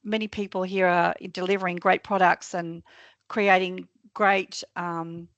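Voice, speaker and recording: A woman from a local council answering webinar questions over a video call, with a croaky voice and a hesitant way of speaking. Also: on the low side, little pitch movement, measured pace, fairly bright tone, muffled recording. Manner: hesitant, many fillers and restarts. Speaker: council staff presenter.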